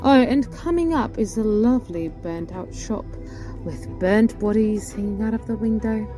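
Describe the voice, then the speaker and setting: A woman's voice: mid-range voice, normal speed, mellow, soft background music, lightly acted. A woman playing a playful, childlike, macabre henchwoman with a sing-song manner in an audio drama. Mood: macabre glee. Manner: sing-song, playful, mock tour guide.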